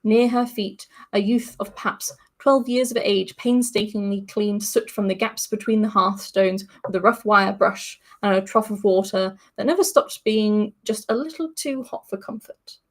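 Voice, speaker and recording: A woman's voice: medium pitch, fairly even intonation, normal speed, few pauses. A woman podcast host reading a story aloud in a chatty manner. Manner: chatty, laughs between passages.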